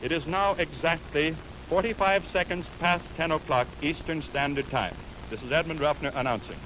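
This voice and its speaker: A man's voice: on the high side, moderate pace, noisy background. A man announcing formally from a script in 1920s radio style, on an old warped film recording of a broadcast.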